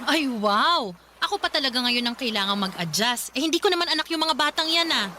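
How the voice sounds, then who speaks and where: A woman's voice: high voice, lively intonation, brisk, bright, forceful tone, soft background music. An actress playing an indignant, exasperated young Filipino woman in a radio drama, confrontational and sarcastic with her partner. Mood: angry, sarcastic.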